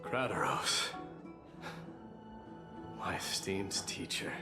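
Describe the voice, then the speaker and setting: An actor playing a solemn, dutiful warrior crown prince in a video game, speaking in a formal, measured manner. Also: male voice, sits low, animated, music in the background, exaggerated voice. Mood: composed, respectful. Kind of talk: dramatic game voice acting.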